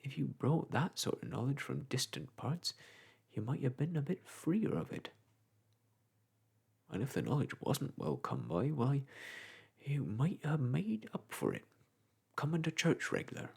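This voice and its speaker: Man doing a garrulous old village clerk, gravelly, husky. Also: medium pitch, wildly swooping pitch, a little slow, pauses often, a little mellow, heavily acted. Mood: rambling advice. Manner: rambling, folksy, lecturing.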